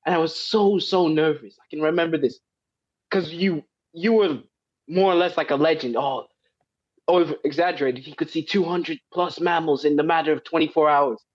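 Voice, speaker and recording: A teenage boy telling an excited story as a podcast guest over a video call. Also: fairly high pitch, normal speed, lo-fi sound. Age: teens. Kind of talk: casual anecdote.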